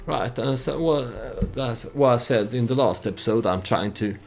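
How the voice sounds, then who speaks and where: A man giving casual storytelling commentary over a strategy game, hesitant and halting as he thinks aloud. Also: medium pitch, creaky, faint music behind. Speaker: let's play YouTuber narrating his strategy game.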